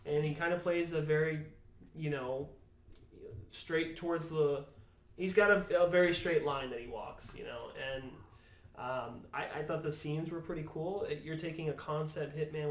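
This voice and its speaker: A man as a podcast guest, casually discussing movies. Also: on the high side. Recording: roomy, echoey room recording.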